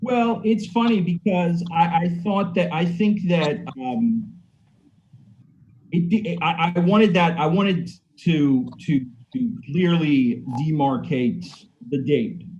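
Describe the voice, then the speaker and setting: A man's voice: fairly high pitch, takes it slowly, a little mellow, highs cut off, a little hiss or hum. A man discussing his own writing casually on a video call, hesitant and often repeating his phrases. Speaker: playwright. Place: indoor room, video call. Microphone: computer mic on video call.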